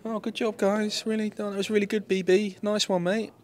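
A man's voice: high voice, normal speed. A young man interviewing with a handheld mic, teasing his guest in a dry, mocking roast style. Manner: deadpan, mocking. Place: esports event backdrop, indoors.

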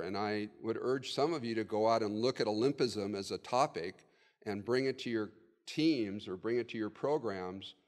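A man in his sixties giving a conversational keynote at a podium in a conference room, thinking aloud with fillers. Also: on the low side. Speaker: keynote speaker. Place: hotel conference room with audience. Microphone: podium mic. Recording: long reverb of a conference hall.

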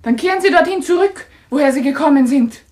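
An actress playing a haughty, indignant lady of the house with a sharp manner in a German thriller radio play. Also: sits high, lively intonation, quick pace.

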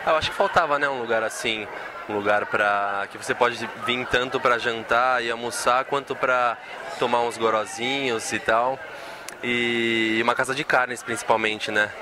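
A man's voice: medium pitch, fairly even intonation, normal speed. A young Brazilian man giving a casual, slangy interview. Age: young adult. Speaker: restaurant team member being interviewed. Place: busy restaurant room. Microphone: handheld interview microphone. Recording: noisy restaurant background.

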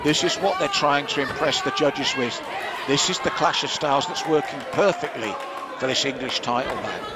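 A man's voice: medium pitch, normal speed. A man giving live ringside commentary on a kickboxing bout, analytical and offering his own view of the scoring. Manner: analytical, gives personal opinions on the scoring. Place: indoor kickboxing venue with live audience. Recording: loud crowd and venue noise behind.

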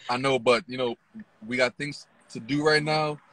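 A man in his thirties hosting a casual interview from inside a parked car at night on his phone, relaxed with lots of "you know". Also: mid-range voice, moderate pace, a little hiss or hum, low-quality recording. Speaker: interviewer, trading channel host. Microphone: phone held close.

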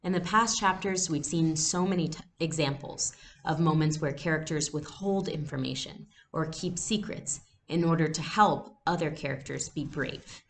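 A young adult woman giving a read-aloud lesson, explaining things deliberately as a teacher. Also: low-pitched. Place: small room at home. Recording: noisy home webcam recording.

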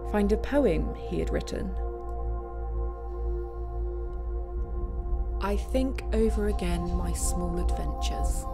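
Slow-paced, wistful woman pilot. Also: lowish voice. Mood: solemn, tender. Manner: reflective, conversational storytelling with hesitant pauses. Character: wistful female search and rescue bush pilot recounting a tragedy. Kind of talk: dramatic monologue in an audio play.

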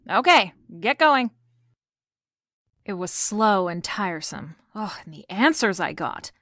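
A woman playing a brisk, bossy, wisecracking private detective in an old-time radio-style mystery drama. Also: mid-range voice, very animated, a bit bright, dry recording, clean studio sound, heavily acted.

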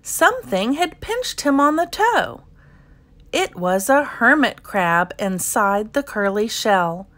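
A woman reading a children's picture book aloud in an expressive narrating style. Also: a little high, slow pace. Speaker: storybook reader.

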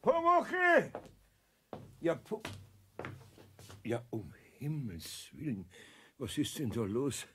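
Older male craftsman, exasperated, exclaiming, unhurried pace, big pitch swings, children's audio drama. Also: huge pitch swings, fairly bright tone, a little hiss or hum, exaggerated voice. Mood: alarmed, bewildered. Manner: exclaiming, muttering in dismay. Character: flustered older craftsman, exasperated but homely master. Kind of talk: German children's radio play acting.

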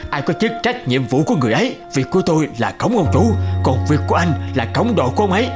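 Snooty, animated cartoon horse. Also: male voice, on the high side, quick pace, over music. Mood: dismissive. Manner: haughty, dismissive. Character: snooty male horse, proud of noble birth. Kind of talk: cartoon fable acting.

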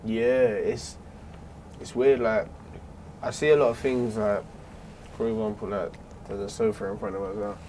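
A young man with a South London accent, chatting easily as a guest, slangy and quick to laugh. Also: lowish voice, steady tone, warm tone, slight background noise. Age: young adult. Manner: easygoing, laughs often, slangy. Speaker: guest, rapper. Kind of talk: casual chat. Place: small carpeted room, seated in armchairs.

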